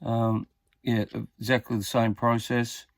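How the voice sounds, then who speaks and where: A man's voice: mid-range voice, flat delivery, unhurried, dry recording. A man giving a casual hands-on repair tutorial, talking tentatively and muttering as he works. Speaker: hobbyist demonstrating a radio repair.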